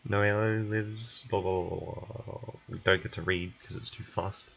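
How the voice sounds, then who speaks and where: A man's voice: fairly low pitch, flat delivery, unhurried, gravelly, fairly bright tone. A man reading game dialogue aloud as he streams his playthrough. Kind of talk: fan voice-over of game dialogue while streaming.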